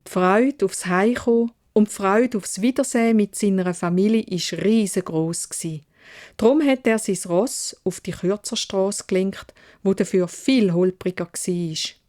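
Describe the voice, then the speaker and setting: A woman's voice: mid-range voice, a little slow, a little mellow. A woman with a Swiss German accent narrating a children's fairytale in dialect as a storyteller.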